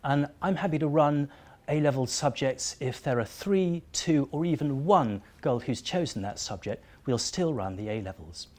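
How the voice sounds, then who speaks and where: A man's voice: fairly high pitch, lively intonation, normal speed, a little mellow. A man interviewing his students for a school video, friendly and conversational, with frequent small fillers.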